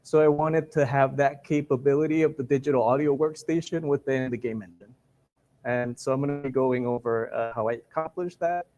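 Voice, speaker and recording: A man from Guatemala, a software engineer, giving a technical conference talk in a hesitant way full of "uh" fillers. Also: mid-range voice, fairly quick, lo-fi sound. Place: conference stage.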